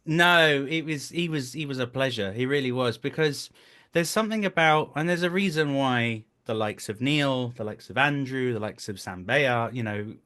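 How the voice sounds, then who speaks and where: A man's voice: medium pitch, moderate pace. A man in his thirties with a mid-range voice talks as a guest in a casual interview. He tells anecdotes as a director in a joking, self-deprecating way. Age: 30s. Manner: animated storytelling, self-deprecating, jokey.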